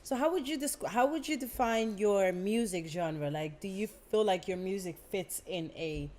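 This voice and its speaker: A woman's voice: mid-range voice, normal speed, a little mellow. A young woman from the Netherlands, hosting a podcast and chatting casually with her guest. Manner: chatty, asks questions, frequent fillers. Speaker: podcast host.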